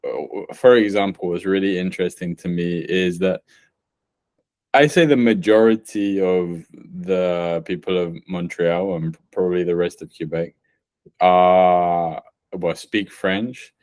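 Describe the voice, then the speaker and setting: Low-voiced podcast host, dry sound. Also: male voice, a little mellow, dry recording. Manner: measured, builds points through examples with pauses and restarts. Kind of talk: casual podcast chat.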